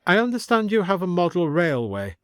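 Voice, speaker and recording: A man voicing a pompous, formal Victorian English gentleman official, proud and strict about rules, in an audiobook story. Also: high-pitched, big pitch swings, a little fast, clear, resonant tone, no room sound, studio quality, voice pushed up. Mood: officious.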